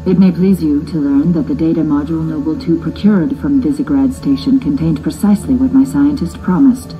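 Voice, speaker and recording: An actress playing an imperious, coolly brilliant military scientist in a sci-fi game, with formal, precise diction. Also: female voice, sits low, a little fast, over music, natural voice, poor audio. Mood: dry, composed. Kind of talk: cinematic sci-fi game acting. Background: loud dramatic score.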